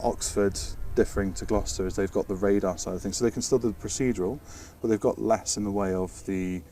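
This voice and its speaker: A man's voice: lowish voice, steady tone, fast, warm tone, soft background music. A British man chatting informally as he explains technical air traffic control work, hesitating and restarting words as he goes. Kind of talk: informal explanatory interview chat. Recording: distant mic, faint background noise and ambience.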